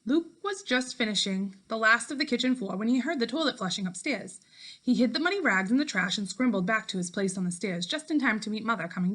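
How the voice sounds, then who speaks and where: A woman teacher reading a story aloud to children, clearly and expressively, speaking directly to her pupils. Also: on the high side, pushed and bright. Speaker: teacher reading a book aloud to fifth graders.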